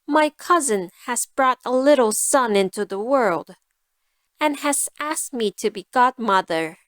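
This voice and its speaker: Woman voicing a slow, sly storybook cat. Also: fairly high pitch, no echo. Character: sly, cool, self-serving female cat.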